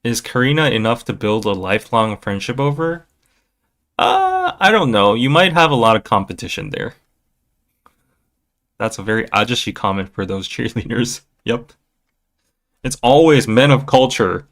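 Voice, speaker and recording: A man hosting a casual livestream, talking in a playful, rambling way with halting restarts and replies to viewers. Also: medium pitch, sing-song, fairly bright tone. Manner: playful, jokey, often chuckling. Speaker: podcast livestream host. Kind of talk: casual livestream chat, reading viewer comments.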